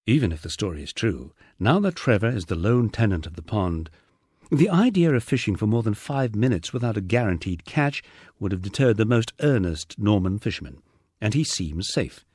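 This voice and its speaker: A man's voice: fairly low pitch, sing-song, normal speed, a little mellow, husky, no room sound, studio quality. A man narrating a first-person memoir audiobook in a wry storytelling manner.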